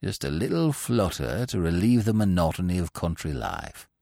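A man reading an audiobook and voicing a sly, scheming young male gambler who talks in a low, smooth, insinuating way. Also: very animated, moderate pace, dark tone, no room sound, clean studio sound, heavily acted.

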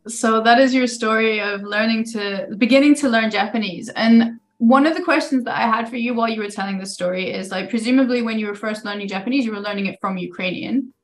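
A woman's voice: fairly high pitch, fast, few pauses. Curious woman podcast host. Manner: curious, asks follow-up questions. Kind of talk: interviewing.

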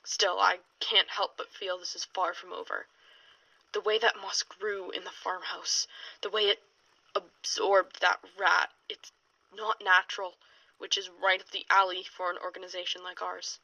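A woman's voice: lowish voice, level tone, moderate pace, vocal fry, pushed and bright, no room sound, muffled recording, exaggerated voice. A woman playing a hard-boiled, world-weary female paranormal investigator in a 1920s noir audio drama, with wry, slangy delivery. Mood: weary, brooding. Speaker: investigator and narrator. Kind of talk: audio drama, noir-style recorded case statement.

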